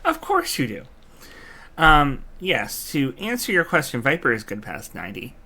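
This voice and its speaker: A man's voice: a little high, wildly swooping pitch, moderate pace, sharp tone. A man giving casual live commentary as a game streamer, reacting aloud and explaining game mechanics. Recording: game music behind the voice. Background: video game music.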